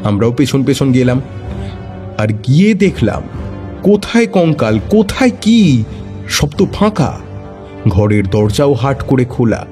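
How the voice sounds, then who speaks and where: A man narrating a detective story with expressive, suspenseful delivery. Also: mid-range voice, a little slow, pauses often, faint music behind.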